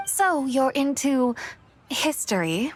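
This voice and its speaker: Lofty, fairly high-voiced goddess. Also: female voice, animated, slow. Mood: curious, imperious. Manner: formal, stately, old-fashioned phrasing. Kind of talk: video game voice acting. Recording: faint music behind the voice.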